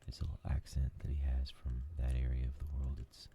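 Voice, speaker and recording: A man hosting a new ASMR gaming channel, talking in a soft whisper and rambling with lots of fillers. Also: very deep voice, monotone, fairly quick, creaky, slight background noise.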